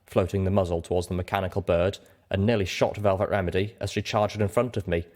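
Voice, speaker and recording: A man reading fiction aloud as an audiobook narrator, in an animated storytelling style. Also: fairly low pitch. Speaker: audiobook reader.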